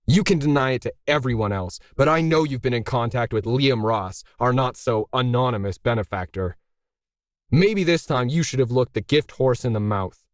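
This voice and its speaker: A man's voice: medium pitch, moderate pace, no room sound, clean studio sound, lightly acted. A man voicing a bitter middle-aged male artist in a story, indignant, pressing and sarcastic. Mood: accusing, sarcastic.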